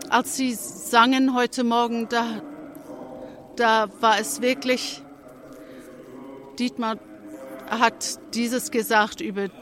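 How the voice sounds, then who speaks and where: A speaker with a cold gives a testimony at a church service, slowly and with care. The delivery halts often, with phrases begun again, and then builds to fervent emphasis on key points. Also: mid-range voice, fairly bright tone, a little hiss or hum. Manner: halting, with frequent restarts and pauses. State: has a cold.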